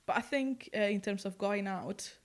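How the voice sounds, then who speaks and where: A woman's voice: medium pitch, normal speed. Young spanish woman on a podcast, chatty, lots of "like"s, easy laughs. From Spain. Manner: chatty, laughing, many fillers. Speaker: podcast co-host. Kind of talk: casual chat. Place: London.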